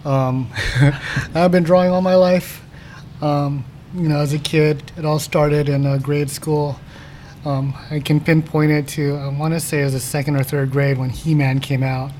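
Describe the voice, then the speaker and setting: A man's voice: a little high, measured pace. A man in his forties, a guest artist on a podcast, talking casually with lots of laughs and ums. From San Diego, California. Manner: relaxed, laughs often, trails off with ums. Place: comics shop cafe. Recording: faint ambient noise in a cafe.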